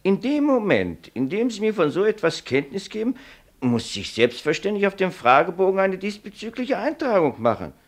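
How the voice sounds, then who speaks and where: An actor playing a self-righteous company doctor in a German radio play, formal, pedantic and condescending. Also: male voice, high voice, very animated, moderate pace. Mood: affronted, officious.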